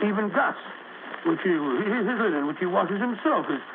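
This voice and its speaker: An actor playing a loyal, puzzled sidekick in a radio drama, fussy and weary. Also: male voice, sits high, animated, moderate pace. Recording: noisy, narrow-band old radio recording.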